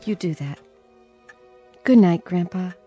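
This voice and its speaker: An actress playing a worried, tender granddaughter and wife in a radio drama, gentle, hesitant and pleading. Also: female voice, lowish voice, lively intonation, slow. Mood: tender concern. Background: music.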